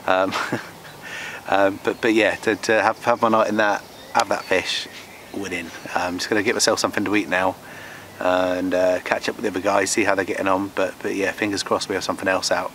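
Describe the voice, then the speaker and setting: A man in his forties with a lowish voice talks to camera in a loose, matey way. He is recorded outdoors, with faint natural noise around him. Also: little pitch movement, fairly quick, a bit bright. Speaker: fishing vlogger. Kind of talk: casual chat to camera. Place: outdoors on a wooded lake bank.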